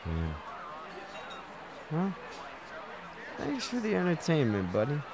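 A man's voice: fairly high pitch, huge pitch swings, takes it slowly, noisy background, full character voice. A man acting in a comedic radio play as a blunt, folksy, skeptical bar customer from out of town. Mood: relaxed, musing. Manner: colloquial, blunt. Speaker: student radio actor.

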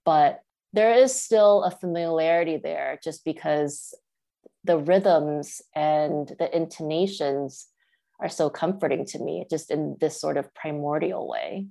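Low-voiced woman author from Taiwan. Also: a little slow, vocal fry, dark tone. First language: Mandarin Chinese. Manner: thoughtful, with fillers and restarts. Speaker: author guest. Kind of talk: radio interview answers.

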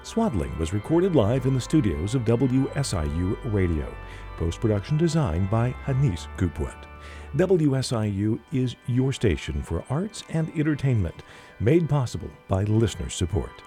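A man's voice: medium pitch, big pitch swings, moderate pace, warm tone. A man reading scripted closing credits as a radio station announcer. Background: faint music.

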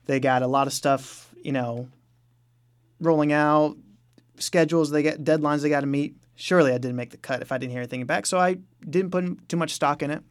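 A man's voice: on the high side, a little fast, clean tone. A man answering interview questions as a musician guest, speaking informally and telling things as anecdotes. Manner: informal, anecdotal, quotes his own reactions. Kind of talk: casual conversational interview answers.

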